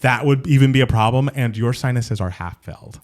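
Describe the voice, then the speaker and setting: A man's voice: mid-range voice, brisk. Young guy podcast cohost, chatty and rambly, laughs a lot, normal mid voice. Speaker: podcast co-host. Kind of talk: casual chat.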